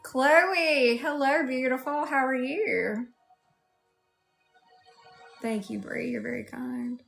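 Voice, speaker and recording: A woman's voice: high voice, steady tone, slow, gravelly, a bit bright, soft background music. A woman with a Southern US accent hosting a casual livestream, chatting and addressing viewers one by one. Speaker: livestream host.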